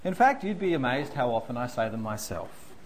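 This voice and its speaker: Lively self-mocking preacher. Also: male voice, medium pitch, fairly quick, slight background noise. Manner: self-deprecating storyteller, animated, laughing through anecdotes. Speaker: pastor. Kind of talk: sermon with humorous stories.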